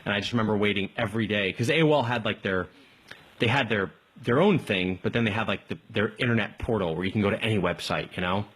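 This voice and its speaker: A man hosting a casual live call-in tech stream, rambling along in an informal, enthusiastic way with a slightly husky voice. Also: fairly low pitch, quick pace, fairly bright tone. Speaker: live tech show host.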